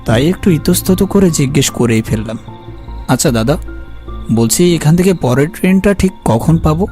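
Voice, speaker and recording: A man playing a polite, uneasy traveller who narrates an eerie animated ghost story in the first person. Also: on the high side, normal speed, close to an everyday voice. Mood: frustrated, hesitant. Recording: faint music behind the voice.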